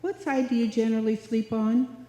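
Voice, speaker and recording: A woman's voice: fairly high pitch, moderate pace, warm tone, close to an everyday voice. A woman playing a naive, scatterbrained young roommate in a live old-time radio comedy, earnest and dim-witted. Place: live stage performance in a hall. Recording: echoey hall, live stage.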